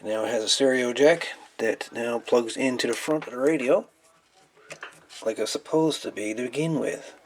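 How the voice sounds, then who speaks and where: A man's voice: medium pitch, normal speed, slight background noise. A man giving casual hands-on commentary as a repairer, rambling and folksy, repeating his words as he goes. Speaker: hobbyist radio repairer presenting. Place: indoor workbench.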